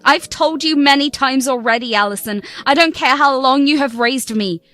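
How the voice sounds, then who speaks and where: A woman's voice: high-pitched, sing-song, brisk, fairly bright tone, no echo. A woman voicing a spoiled, cruel young woman about to marry, speaking scornfully and sharply.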